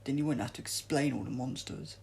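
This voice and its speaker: A man reads a helpful young female gymnast in a storybook. She is practical and matter-of-fact, with a gravelly creak and faint noise behind. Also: mid-range voice, fairly quick, darkish tone, lightly acted. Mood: suggesting.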